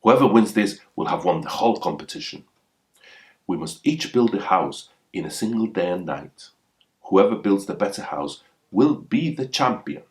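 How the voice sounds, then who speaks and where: Man as a deep, imperious, jealous rich rival. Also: animated, moderate pace. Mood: imperious challenge. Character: rich, furious, jealous brother-in-law rival.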